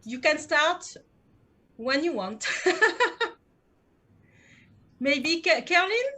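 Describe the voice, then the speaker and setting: A woman's voice: sits high, lively intonation, drawn-out words, pushed and bright. A woman with a French accent hosting a video-call author panel, giggling often as she asks questions. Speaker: host.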